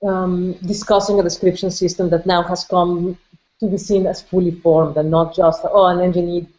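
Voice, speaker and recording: A woman leading an online seminar discussion, halting and restarting with many fillers as she thinks aloud. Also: lowish voice, little pitch movement, darkish tone, dull audio.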